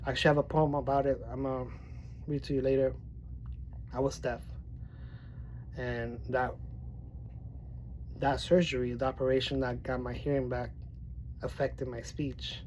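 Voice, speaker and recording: A man from Miami with a mild speech impediment, talking casually to his viewers. He rambles, restarts his sentences and leans on fillers. His voice is soft and mellow, with a faint hiss behind it. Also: mid-range voice, flat delivery, normal speed, pauses often, low-quality recording. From Miami, Florida. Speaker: poetry vlogger. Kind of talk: casual vlog talk and poetry reading.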